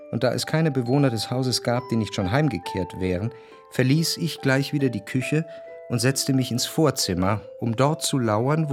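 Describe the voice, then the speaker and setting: An actor playing an introspective, yearning gentleman who narrates a literary radio drama in a measured, reflective way. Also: mid-range voice, lightly acted.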